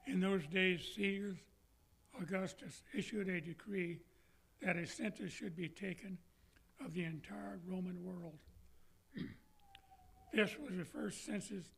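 An older man with laryngitis, reading scripture aloud at a church lectern microphone in a measured, careful way, his throat catching now and then. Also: high voice, gravelly, fairly bright tone, slightly rough, poor audio. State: hoarse from laryngitis. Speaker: scripture reader. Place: church sanctuary, indoors. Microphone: lectern microphone on a stand.

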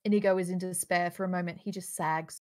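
A woman's voice: lowish voice, steady tone, quick pace. A woman reading stage directions as scripted narration in an online table read. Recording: faint noise on video call.

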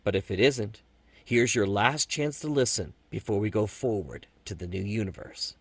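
A man's voice: lowish voice, moderate pace, slightly rough, no room sound. A man hosting a podcast, introducing the show in a personal, talky way. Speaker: podcast host.

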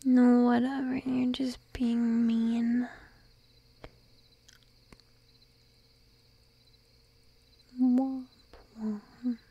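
A woman voicing a shy, needy, teasing sheep-girl girlfriend in an ASMR roleplay, speaking in a soft breathy whisper close to the microphone. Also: fairly high pitch, little pitch movement, dry recording, dull audio, heavily acted. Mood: playful teasing. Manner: slow, drowsy, playfully coaxing. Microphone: close microphone, dry.

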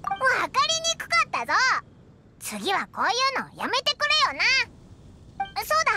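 A woman's voice: very high voice, wildly swooping pitch, normal speed, very noisy, full character voice. A woman voicing a tiny, childlike fairy companion in an anime game, bossy, impatient and bluntly spoken. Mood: grumbling. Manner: brash, blunt, rough childish speech. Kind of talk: anime video game voice acting.

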